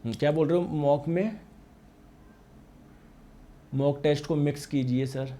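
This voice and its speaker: A male teacher with a high voice and a hoarse throat, giving a lively science lecture. He poses questions and answers them himself, and he gives every key term twice, once in Hindi and once in English. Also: unhurried. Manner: asks and answers his own questions; addresses students as friends. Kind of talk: online exam-prep class.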